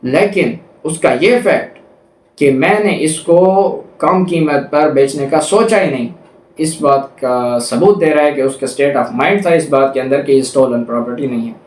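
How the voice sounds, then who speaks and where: Man, Pakistani accent, slightly high voice, roomy echo, didactic lecturer, repeats and rephrases, mixes in English terms. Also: measured pace, darkish tone. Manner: switches between Urdu and English legal terms. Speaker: law lecturer. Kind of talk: explanatory lecture with examples, reading out legal text. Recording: echoey, roomy sound.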